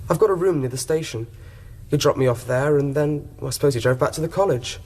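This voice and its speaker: A man's voice: on the high side, quick pace, a little mellow, lightly acted. An actor playing a trusting, innocent young Englishman in a radio drama, talking in a mild, polite, candid way. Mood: candid, reminiscing. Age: young adult. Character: trusting, innocent young male college gardener accused of blackmail. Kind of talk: British radio drama acting.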